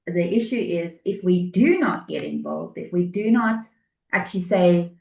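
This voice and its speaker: A woman's voice: on the low side, animated, normal speed, vocal fry, warm tone, reverberant, dull audio. A South African woman with a South African English accent, hosting an interview and speaking thoughtfully, sometimes searching for words. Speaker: podcast host. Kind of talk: interview conversation.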